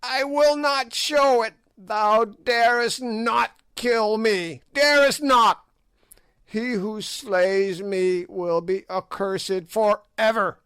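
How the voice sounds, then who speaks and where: A man's voice: takes it slowly, a bit bright, clean tone, no room sound, studio quality. A man voicing an ancient, evil witch woman who shrieks and cackles in old-fashioned speech. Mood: defiant. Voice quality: shrill, cackling.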